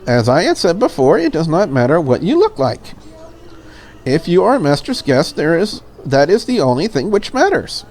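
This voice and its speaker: A woman voicing a polite, deferential and curious maid in a visual novel, in a courteous, measured manner. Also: sits low, sing-song, darkish tone. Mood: reassuring, courteous. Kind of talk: visual novel character read aloud.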